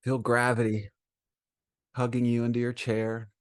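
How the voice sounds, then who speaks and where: A man leading a guided meditation as a teacher, speaking gently and soothingly in short phrases with frequent pauses. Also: medium pitch, little pitch movement.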